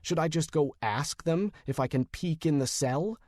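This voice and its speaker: A man's voice: fairly high pitch, normal speed, a little mellow, no echo, clean studio sound, lightly acted. A man voicing a wry, determined male detective in an urban fantasy audiobook, sardonic and matter-of-fact. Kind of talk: audiobook dialogue.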